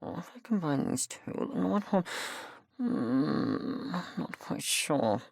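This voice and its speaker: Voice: mid-range voice, big pitch swings, moderate pace, a bit bright, dry recording, clean studio sound. An actor, sounding female, voicing an arrogant, obsessed mad-scientist creature in a video game, with pompous, clinical, precise diction. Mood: pondering. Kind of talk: theatrical game character acting.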